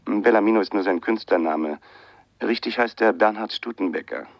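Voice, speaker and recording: A man's voice: fairly low pitch, moderate pace, creaky, dry recording. An actor playing a mysterious, insistent man on the phone in a radio crime drama, cryptic and self-assured. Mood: secretive, uneasy. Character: mysterious, insistent man phoning a writer, afraid for his life. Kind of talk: 1980 German radio crime drama acting. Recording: dull, lo-fi radio play sound.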